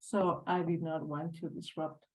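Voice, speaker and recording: A woman's voice: low voice, little pitch movement, measured pace, poor audio. A woman speaking on a panel over a video call, reflective and searching for words as she goes. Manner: thoughtful, searching for words, repeats words.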